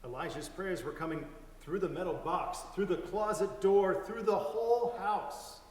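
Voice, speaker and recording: A man's voice: high voice, moderate pace. A man reading a scripted first-person story aloud as part of a dramatic reading. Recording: echoey hall, distant microphone.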